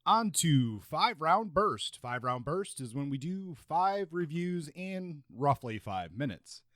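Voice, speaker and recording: A man's voice: a little high, sing-song, takes it slowly, a bit bright, no echo, clean studio sound. A man co-hosting a podcast, chatting casually about books. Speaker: podcast co-host. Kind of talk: casual book discussion.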